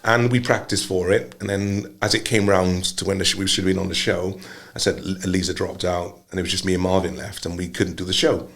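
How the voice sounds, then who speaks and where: An older man from Wales, a singer telling his story casually, relaxed with many pauses. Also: low-pitched. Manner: relaxed, rambling, many ums. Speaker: singer, guest.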